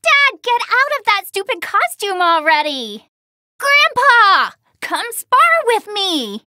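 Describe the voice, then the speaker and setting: A woman's voice: very high voice, sing-song, slow, pushed and bright, no echo, clean studio sound, full character voice. A woman voicing a cocky, spirited young girl fighter in an anime video game, with a girlish, sassy, exclamatory delivery. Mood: exasperated, eager. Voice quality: girlish, youthful. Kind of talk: English-dubbed anime video game battle lines.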